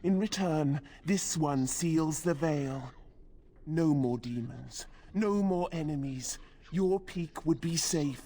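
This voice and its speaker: A woman's voice: deep voice, takes it slowly, warm tone, full character voice. An actress voicing a menacing demon in a woman's body for a video game, haughty and archaic in manner. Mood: haughty, calculating. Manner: archaic, haughty, speaks of itself in third person. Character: menacing demon possessing a dead female warden commander.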